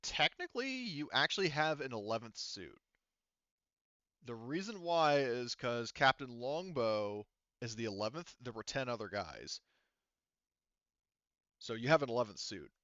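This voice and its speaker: A man's voice: mid-range voice, very animated, unhurried, long pauses, bright, forceful tone, no room sound, dull audio. A man running an online tabletop game as game master, talking casually.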